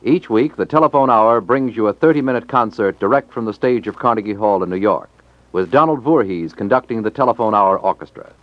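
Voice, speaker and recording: A man's voice: lowish voice, fairly quick, no echo. An American man announcing from a script in a formal, polished 1950s radio style. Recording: muffled 1950s radio recording.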